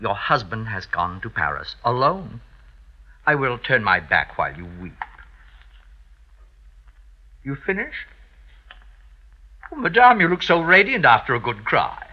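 A man's voice: mid-range voice, big pitch swings, unhurried, pauses often. An actor playing a fawning, scheming male servant in a 1950s radio drama, obsequious, hesitant and theatrical. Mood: oily, mock sympathetic. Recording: dull vintage radio recording.

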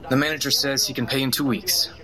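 An actor playing a dreamy young struggling musician in an audio drama, soft, earnest and plain-spoken. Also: male voice, mid-range voice, fairly even intonation, fast, close to an everyday voice. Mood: discouraged.

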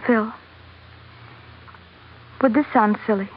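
A woman's voice: a little high, steady tone, slow. A woman playing a devoted, educated young sultana with an earnest manner in an old radio drama. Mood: shy, tender. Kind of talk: 1940s radio drama acting. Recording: dull, noisy old radio recording.

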